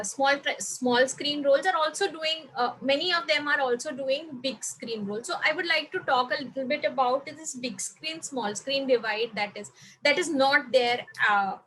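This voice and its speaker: Bright, high-voiced Indian woman on noisy call. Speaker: interviewer, moderator. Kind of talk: conversational interview. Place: indoor room, video call. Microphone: video call. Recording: clearly noisy video call.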